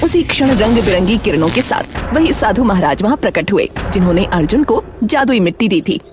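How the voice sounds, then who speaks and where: A woman's voice: medium pitch, expressive, a little fast, over music. A woman reading scripted narration for a story as its narrator.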